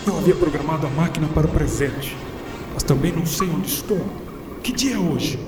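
A man's voice: on the high side, expressive, brisk, music in the background, natural voice. A man acting in a radio drama as an enigmatic time traveler, formal, theatrical and musing. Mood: bewildered. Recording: echoey, distant, noisy ambience behind.

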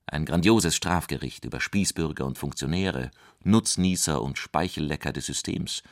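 A man reading literary quotations in a scripted, measured style. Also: rumbling low, no room sound, clean studio sound. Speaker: reader of quotations.

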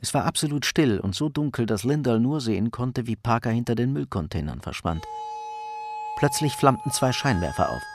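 A man narrating a crime radio play in a measured, suspenseful storytelling manner. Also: medium pitch, lively intonation, slight background noise. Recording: music behind the narration.

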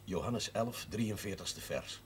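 Wry, unflappable village priest. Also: male voice, lowish voice, expressive, slow pace, breathy edge, exaggerated voice. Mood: calm, sly. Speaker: actor in a television drama series. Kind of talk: television drama dialogue. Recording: noisy old television soundtrack.

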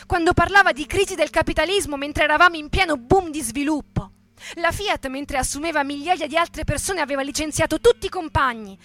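An actress giving an emphatic, indignant radio theatre reading as a young militant recalling street riots. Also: female voice, sits high, sharp tone. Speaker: actress and narrator.

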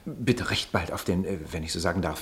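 An actor playing a politely impatient official in a German radio play, listening and prodding with dry restraint. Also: male voice, low voice, brisk, fairly bright tone. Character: politely impatient male official hearing a rambling statement.

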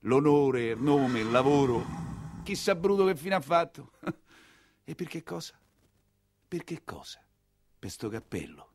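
An actor playing an excitable, humble nineteenth-century coachman from Rome, telling his story in a theatrical dialect monologue with a Roman accent. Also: on the high side, a little slow, pauses often. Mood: rueful. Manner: earthy, emotional, colloquial storytelling.

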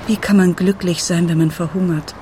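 A woman's voice: fairly low pitch, steady tone, a little fast, background noise, lightly acted. An actress playing a worried middle-aged mother in a German radio drama, plain-spoken and brooding. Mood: troubled, reflective. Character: worried, questioning mother of an anorexic teenage girl. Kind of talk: radio drama dialogue and narration.

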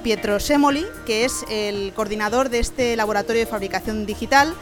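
A woman's voice: fairly high pitch, brisk, over music. A woman co-hosting a radio program, presenting in a conversational way with small restarts. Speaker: radio show co-host.

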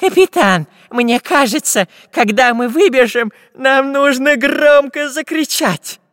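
A man voicing a cowardly, stammering male pirate spy in a squeaky, drawn-out, panicky voice. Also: a bit bright, no room sound, studio quality, heavily acted. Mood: scheming.

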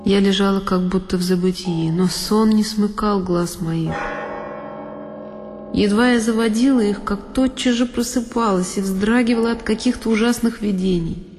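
An actress playing a frightened young girl who recalls a dreadful night in a measured, literary audio drama narration. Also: medium pitch, a little mellow, natural voice. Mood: anguished. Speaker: solo performer of an audio drama. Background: music behind the voice.

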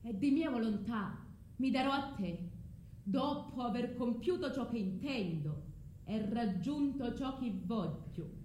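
Vengeful sorceress, high-pitched, impassioned, theatrical, radio drama, echoey room, faint noise. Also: female voice, expressive, unhurried, dark tone. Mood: commanding, urgent. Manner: impassioned, pleading, incantatory, mocking.

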